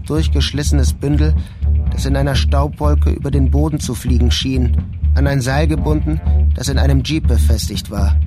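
A male narrator with a mid-range voice reads literary prose for a radio drama. The delivery is scripted storytelling. Music is clearly audible behind him. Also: medium pitch.

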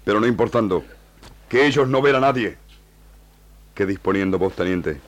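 A man's voice: on the low side, moderate pace, lightly acted. A man playing a grave, proud native chief who speaks in broken phrases in an old radio serial. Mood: grave, resolute.

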